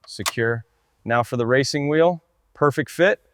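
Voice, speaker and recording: A man's voice: medium pitch, unhurried, music in the background. A man working as a car restoration mechanic, calling out each step in short, clipped, procedural phrases. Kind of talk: short step-by-step workshop commentary.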